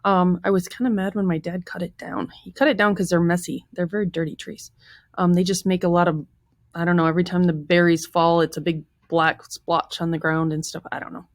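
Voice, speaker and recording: A woman's voice: lowish voice, flat delivery, brisk, dry recording, studio quality. A woman teacher chatting informally with students as she leads them through a lesson. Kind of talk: casual lesson chat with students.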